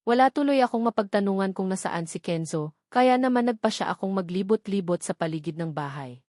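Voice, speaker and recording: A woman's voice: mid-range voice, expressive, brisk, darkish tone, no echo. Woman narrating a romance story, dry close sound. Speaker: audiobook narrator. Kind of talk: scripted first-person romance novel narration.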